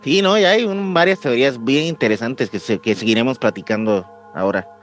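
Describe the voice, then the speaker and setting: A man with a Mexican accent co-hosting a podcast in casual chat, relaxed and agreeable. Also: mid-range voice, a little fast, soft background music. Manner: relaxed, agreeing, brief interjections. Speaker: podcast co-host.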